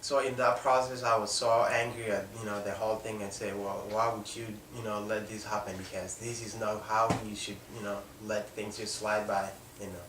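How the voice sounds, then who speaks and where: A young man from South Sudan with a South Sudanese accent tells a personal story unscripted, in a halting way with pauses mid-sentence. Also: lowish voice, little pitch movement. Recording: echoey room, distant mic, faint noise.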